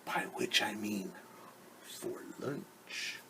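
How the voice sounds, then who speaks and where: A man voicing a sly, smooth-talking hungry wolf who speaks slowly and with oily politeness. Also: mid-range voice, sing-song, background noise. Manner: slow, oily and menacingly polite.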